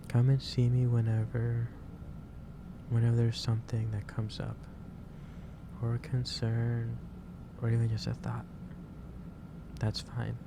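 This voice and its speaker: A man playing a gentle, caring office boss in a soft-spoken ASMR roleplay, talking in a halting, reassuring way. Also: mid-range voice, lightly acted. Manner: halting, reassuring, unhurried delivery. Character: gentle, caring male office boss lecturing an overworked employee. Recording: clearly noisy background ambience.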